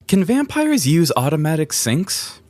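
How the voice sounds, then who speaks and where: A man's voice: fairly high pitch, big pitch swings, measured pace, a little mellow. A man co-hosting a podcast, telling stories in casual conversation. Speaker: podcast co-host. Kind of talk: casual storytelling chat.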